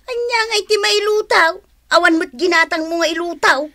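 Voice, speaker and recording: A woman's voice: very high voice, normal speed, sharp tone. A woman playing a sensible mother of a grown son in a radio drama, chiding him in a matter-of-fact manner.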